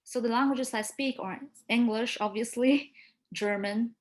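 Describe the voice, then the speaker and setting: A woman's voice: fairly high pitch, measured pace. A young woman from India, whose first language is Marathi, speaking earnestly and articulately as an interview guest, with small hesitations. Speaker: interview guest, medical student. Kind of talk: casual interview chat.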